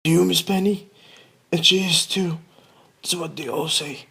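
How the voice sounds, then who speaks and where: A man's voice: on the high side, steady tone, measured pace, creaky, pushed and bright, slightly rough, exaggerated voice. A man playing a forgetful, grumpy yet doting old grandfather in a radio play, muttering and repeating himself. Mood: muttering, fretful.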